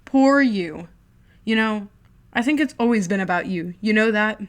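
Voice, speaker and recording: A woman voicing a sarcastic, chain-smoking older brother, a young man with a deep mocking voice. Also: steady tone, normal speed, natural voice. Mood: mocking, shouting. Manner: mocking, contemptuous.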